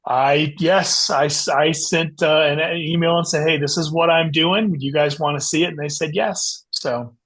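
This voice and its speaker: Higher-pitched man, explaining conversationally. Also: fairly even intonation, moderate pace, few pauses, a bit bright. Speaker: guest author. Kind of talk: casual interview chat.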